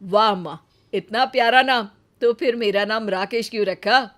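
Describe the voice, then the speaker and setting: A woman's voice: a little high, moderate pace, fairly bright tone, natural voice. A woman playing a devoted, protective adult son and husband in a family story, with a casual, familiar manner. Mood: affectionate. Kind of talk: acted Hindi family moral story.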